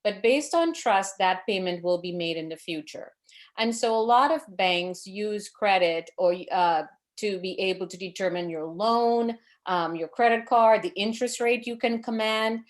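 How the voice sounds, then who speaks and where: A woman from Trinidad in her forties, presenting a financial education webinar over a video call, earnest and advising, with fillers and self-corrections. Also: mid-range voice.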